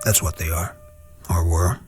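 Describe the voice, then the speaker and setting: Casting: a man as a troubled American officer for a war radio play, lowish voice, worn down and brooding. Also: steady tone, a little mellow, soft background music, natural voice. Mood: grim, bitter. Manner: terse, brooding, halting. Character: weary, troubled young male army officer, haunted. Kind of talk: radio war drama dialogue.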